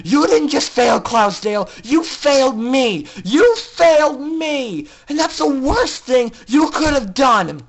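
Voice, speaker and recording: A story reader plays a bitter female pegasus villain full of hatred. The delivery is loud and forceful, screaming with fury at a raised pitch, as in a dramatic fan-fiction reading. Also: gravelly, exaggerated voice, poor audio.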